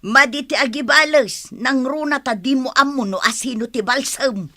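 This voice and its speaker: A woman's voice: high-pitched, moderate pace, pushed and bright, no echo, clean studio sound. A woman with an Ilocano accent playing an exasperated village wife, complaining and scolding in a radio comedy drama.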